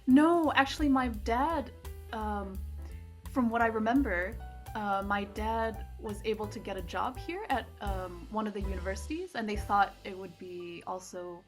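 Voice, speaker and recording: A young woman from Singapore, a pianist being interviewed, talking in a hesitant, soft-spoken way with many pauses. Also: medium pitch, faint music behind. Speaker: guest pianist. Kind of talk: casual interview chat.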